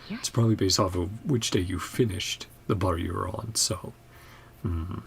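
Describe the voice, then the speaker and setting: Very low, creaky woman reacting to a game. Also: normal speed, a little hiss or hum. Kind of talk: casual reaction commentary and reading subtitles aloud.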